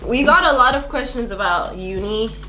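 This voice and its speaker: A woman's voice: on the high side, normal speed, echoey room, over loud music, rough recording. A young Dutch woman hosting a casual chat while eating, giggly and chatty. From Netherlands. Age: young adult. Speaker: vlogger host.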